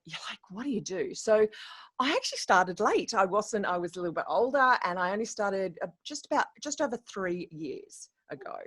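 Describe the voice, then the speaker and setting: A middle-aged woman from Australia, a coach and educator, telling her story as a podcast guest in a chatty, animated way with many restarts and fillers. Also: medium pitch, normal speed, slightly rough. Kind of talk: podcast interview.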